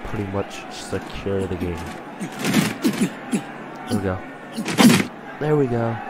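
A man with a stuffy voice from a cold, commentating his own video game play and getting excited on big plays. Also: deep voice, takes it slowly, heavy background noise. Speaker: gaming channel host.

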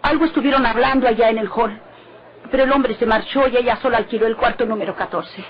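A woman's voice: a little high, quick pace, close to an everyday voice. A woman playing a deferential, uneasy character in a golden-age Mexican radio drama. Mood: obliging. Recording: dull vintage radio recording.

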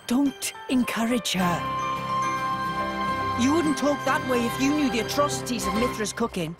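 A woman voicing a bossy, down-to-earth youngster who runs a camp kitchen in a video game, brisk and easily exasperated. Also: on the high side, expressive, loud music behind the voice, natural voice. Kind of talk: video game cutscene acting.

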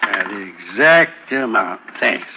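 A man playing an obliging, folksy general storekeeper in an old radio western, speaking deliberately. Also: on the low side, lively intonation. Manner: deliberate, folksy, hesitant tallying. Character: obliging, unhurried male general storekeeper out west. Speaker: radio drama actor. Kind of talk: 1950s radio western acting. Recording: crackly, tinny old radio broadcast.

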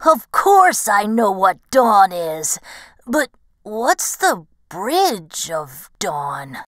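A woman's voice: medium pitch, extremely slow, no room sound, heavily acted. A woman voicing a young male fox in a storybook, earnest and naive with a confident streak. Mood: skeptical. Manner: earnest, puzzled, excitable.

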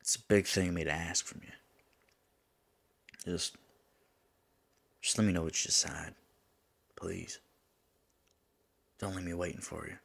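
Man voicing a deep, gravelly lovesick demon. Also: steady tone, measured pace, pauses often, fairly bright tone, heavily acted. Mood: tender, pleading. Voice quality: gravelly, husky. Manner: tender, pleading, folksy turns of phrase. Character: lovesick male demon pleading with his angel lover. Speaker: voice actor in an audio roleplay. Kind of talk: intimate ASMR roleplay acting.

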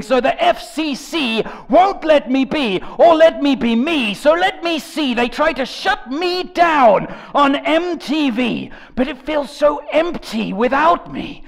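Man reading lyrics with theatrical drama. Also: vocal fry. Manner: theatrical, swinging between shouts and low mutters. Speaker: comedic dramatic reader of rap lyrics. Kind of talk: over-the-top dramatic reading.

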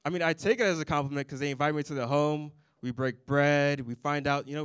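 A young man performing a stand-up set on a comedy club stage into a handheld mic, with a deadpan, dry delivery and little chuckles. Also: a little high, clear, resonant tone, no echo.

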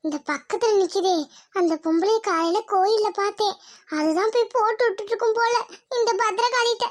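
A woman voicing a nervous, gossipy village woman in a cartoon family drama, chatty and excitable. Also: very high-pitched, fairly quick. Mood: nervous, alarmed.

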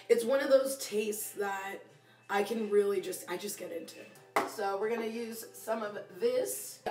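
A woman's voice: fairly high pitch, reverberant, far from the mic. A woman in her twenties hosting a casual home cooking vlog, playful and slangy, with a voice worn from use. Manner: playful, slangy, adds silly rhyming endings to words. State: losing her voice. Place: small home kitchen. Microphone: phone camera, close then a few steps away.

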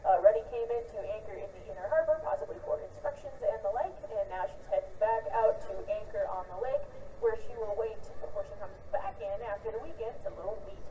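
A woman's voice: a little high, highs cut off. A woman announcing passing ships over a public address system, friendly and chatty. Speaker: ship announcer. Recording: distant loudspeaker with faint noise.

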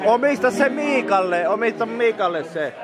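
High-voiced man calling out from a crowd. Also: animated. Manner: calls out requests from the crowd. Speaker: audience member. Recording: shouting from far off in a noisy club.

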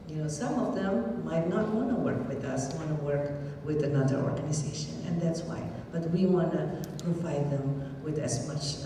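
A woman's voice: low-pitched, warm tone, slight background noise. A middle-aged woman, a native Indonesian speaker, gives an earnest talk as a shelter advocate, with many fillers and repeated phrases. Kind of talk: public talk. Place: large hall with an audience. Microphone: podium mic, recorded by a far camera. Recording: roomy hall reverb from far off.

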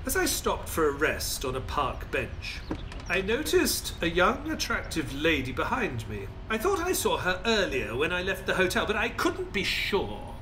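A man playing a courteous, excitable gentleman sidekick who narrates an audio play in an expressive, polite way. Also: high voice, moderate pace, soft background music, full character voice. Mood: measured storytelling. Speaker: actor and narrator.

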